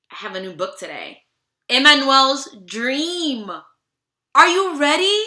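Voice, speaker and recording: A woman teacher doing a children's read-aloud in an expressive, theatrical way, speaking directly to her young listeners. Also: very high-pitched. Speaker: teacher reading aloud to students. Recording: roomy, echoing room sound.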